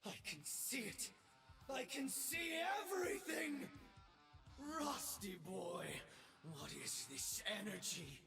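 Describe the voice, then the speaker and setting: A cocky assassin who would be emperor, a theatrical video game role. His high voice swoops dramatically, smug and grandiose, with loud music behind. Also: huge pitch swings, slow pace, creaky, heavily acted. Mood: ecstatic grandiose. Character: cocky, power-hungry young male assassin emperor. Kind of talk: video game character voice lines.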